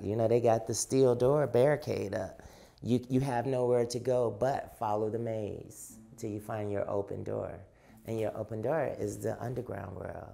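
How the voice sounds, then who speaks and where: A woman from Chicago giving personal testimony in a documentary interview, speaking slowly, deliberately and emphatically. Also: a bass voice, gravelly, darkish tone. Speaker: interviewee, activist.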